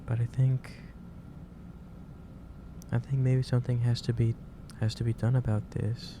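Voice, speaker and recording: A man playing a gentle, concerned office boss in a soft-spoken ASMR roleplay, talking in a hesitant, halting and careful way. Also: medium pitch, little pitch movement, lightly acted. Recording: clearly noisy ambient background.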